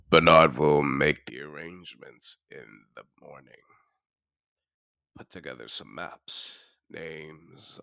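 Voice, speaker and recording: Man voicing a very deep, gravelly seductive dragon. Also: bright, forceful tone, no room sound, full character voice. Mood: businesslike, pleased. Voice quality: deep gravelly voice with breathy murmurs. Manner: velvety, deliberate, courtly. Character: seductive possessive male dragon in disguise, wealthy art patron. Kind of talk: intimate fantasy audio roleplay, slow dramatic delivery.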